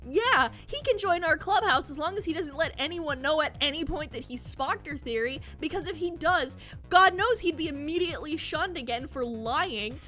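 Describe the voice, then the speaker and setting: A woman's voice: high voice, lively intonation, normal speed, pushed and bright. A young woman delivering scripted commentary as an emphatic, accusatory rebuke peppered with swearing. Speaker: video commentator. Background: background music.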